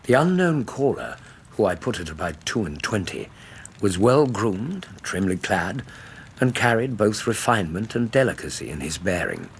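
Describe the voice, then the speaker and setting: Deep-voiced English Doctor Watson, Victorian storyteller. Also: male voice, very animated, gravelly, a little hiss or hum. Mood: measured, intrigued. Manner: measured, eloquent storyteller. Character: Doctor Watson, gentlemanly Victorian male narrator and loyal friend. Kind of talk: dramatic literary radio narration.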